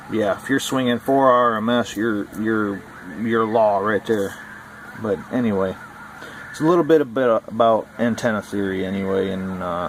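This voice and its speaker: A man's voice: lowish voice, a little hiss or hum, poor audio. A man talking casually about radio, rambling and repeating himself with many fillers. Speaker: CB radio hobbyist vlogger. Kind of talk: casual explainer talk.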